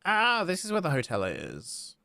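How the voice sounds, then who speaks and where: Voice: mid-range voice, huge pitch swings, normal speed, creaky, pushed and bright, a little hiss or hum. A live streamer giving casual, exclamatory commentary while playing a game. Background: game music.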